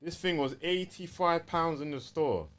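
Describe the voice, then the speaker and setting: A man's voice: a little high, measured pace, a bit bright. A man with a London accent chatting casually and easily as a gaming podcast co-host, using plenty of slang.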